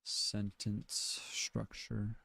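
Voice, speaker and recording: A man on a casual livestream, repeating words aloud as he learns, laid-back and unhurried. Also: lowish voice, monotone, vocal fry, pushed and bright, breathy edge, no room sound.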